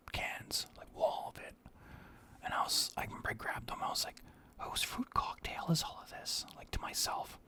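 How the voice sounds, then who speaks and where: A middle-aged man whispering casual, rambling stories about his past, swearing now and then. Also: on the high side. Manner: whispering throughout. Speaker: storyteller.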